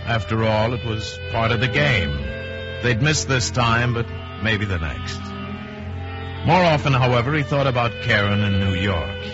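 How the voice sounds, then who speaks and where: A man's voice: mid-range voice, animated, a little slow. Male narrator, dramatic scripted delivery, dull old radio recording, prominent music.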